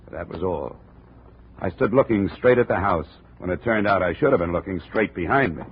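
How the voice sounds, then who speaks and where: An actor playing a wry, hard-boiled insurance investigator in a 1950 radio detective drama, deadpan and wisecracking. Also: male voice, low voice, fairly quick, breathy edge, heavily acted. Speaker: narrator and lead actor. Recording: tinny, noisy old radio broadcast.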